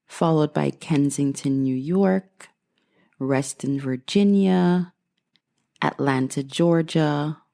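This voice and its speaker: A woman's voice: low voice, vocal fry, dark tone. A woman from Guyana hosting her own podcast, talking straight to her listeners in a grateful, upbeat way. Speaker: podcast host. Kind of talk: casual solo podcast monologue.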